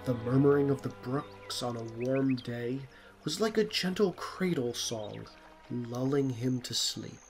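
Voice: mid-range voice, measured pace, vocal fry. A narrator reading a scripted storybook tale. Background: plucked string music.

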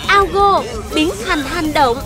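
A woman's voice: high voice, slow pace, a faint crowd, full character voice. A woman voicing a brave, eager young boy who leads a hero team in a cartoon dub. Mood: commanding, excited. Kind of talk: cartoon dubbing. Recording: loud music under the voice.

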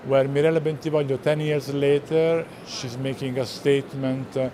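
A middle-aged man with an Italian accent explaining artworks to visitors, pausing often to search for words. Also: medium pitch, little pitch movement. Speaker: art gallerist presenting works. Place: large indoor art fair hall. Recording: busy hall crowd noise.

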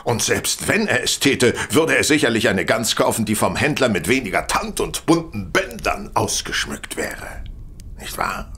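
An actor playing a brilliant, self-assured Victorian detective in an audio drama, reasoning in a confident, didactic manner. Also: male voice, mid-range voice, very animated, moderate pace, a bit bright, slightly rough, full character voice. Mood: assured, deducing. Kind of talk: German audio drama acting.

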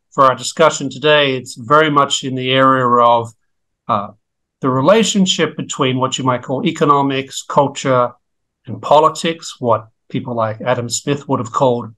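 A middle-aged man with an Australian accent, a guest economist speaking in a measured, articulate way on a video call from a home study. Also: medium pitch, bright, forceful tone, low-quality recording. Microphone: video call webcam.

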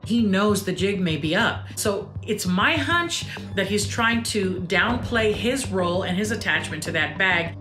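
A woman's voice: medium pitch, expressive, unhurried. A woman giving police commentary in a true-crime documentary. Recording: roomy, music underneath.

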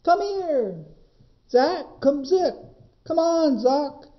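A man's voice: extremely high pitch, lively intonation, drawn-out words, lo-fi sound, pitched above the performer's own voice, full character voice. A man voicing a young goatherd boy in a storybook, urgently calling out to his animals. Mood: pleading shouts.

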